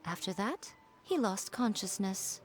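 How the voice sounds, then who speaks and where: A woman's voice: medium pitch, lively intonation, faint music behind, close to an everyday voice. A woman playing a sly, self-assured shrine maiden in a video game, poised and teasing in manner. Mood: composed. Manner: teasing, poised, unhurried explaining. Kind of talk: video game character acting.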